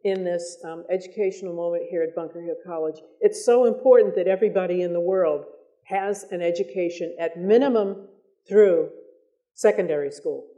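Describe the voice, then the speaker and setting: An American woman moderating a public discussion, speaking in articulate, deliberate phrases with emphasis on her points. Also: medium pitch, dark tone, dull audio.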